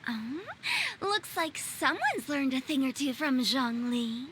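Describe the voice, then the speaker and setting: A woman's voice: sits high, expressive, slow pace, background noise. A woman playing a playful, sly young female funeral director in a video game, teasing and chirpy. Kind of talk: English video game voice acting.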